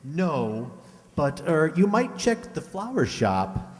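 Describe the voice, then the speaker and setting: An actor playing a polite, gossipy hotel employee in a radio play, talking in a measured, informative way. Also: male voice, a little high, very animated. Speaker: amateur radio drama actor. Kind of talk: old-time radio play dialogue. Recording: echoey hall, long reverb.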